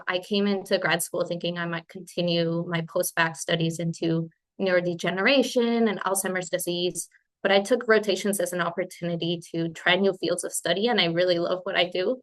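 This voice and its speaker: A woman in her twenties from Spain, born in Colombia, giving an informal webinar presentation as a PhD student. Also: medium pitch. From born in Colombia, grew up in Spain. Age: 20s. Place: remote webinar.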